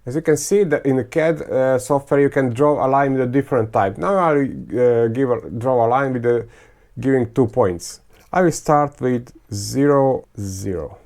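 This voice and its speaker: Gravelly-voiced man. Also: mid-range voice, darkish tone. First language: Croatian, Serbian and Hungarian. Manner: thinking aloud, halting, many fillers and restarts. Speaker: teacher. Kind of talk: screen-recorded software tutorial.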